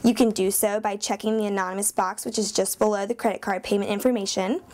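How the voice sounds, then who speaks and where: A woman's voice: medium pitch, steady tone, fast talker, darkish tone. A young woman explaining things step by step as a student news anchor. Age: young adult. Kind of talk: explanatory presenting on a student newscast.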